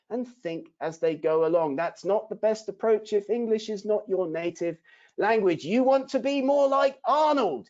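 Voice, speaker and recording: Lively, mellow-voiced man teaching writing. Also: sits high. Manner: emphatic, rhetorical questions to the audience. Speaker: academic writing instructor. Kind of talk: webinar lesson. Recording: dull lo-fi webinar audio.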